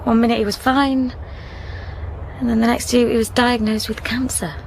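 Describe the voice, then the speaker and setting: A young widow in a naturalistic radio play, played by an actress, with a fairly high voice. She is tender and curious, speaking softly and reflectively with small hesitations. Faint ambience sits behind her. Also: little pitch movement, moderate pace, slight background noise, lightly acted, poor audio. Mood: sorrowful. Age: young adult. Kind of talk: naturalistic radio drama acting.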